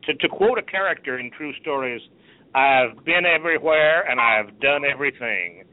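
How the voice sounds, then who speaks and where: A middle-aged man hosting a radio chat show, rambling and halting through many restarts. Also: medium pitch, animated. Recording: narrow phone-like line.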